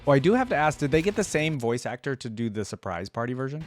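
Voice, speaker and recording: A man's voice: medium pitch, sing-song, fast, shouting, music in the background. A man hosting a reaction video, commenting casually in slangy, amazed fan talk.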